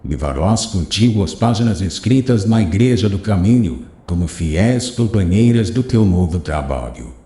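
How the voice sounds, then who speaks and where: A man's voice: low-pitched, animated, mellow, roomy sound. A man voicing a solemn old rabbi. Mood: solemn counsel. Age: older. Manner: solemn, paternal, measured. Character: old wise male rabbi, gentle teacher. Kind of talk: audiobook character reading.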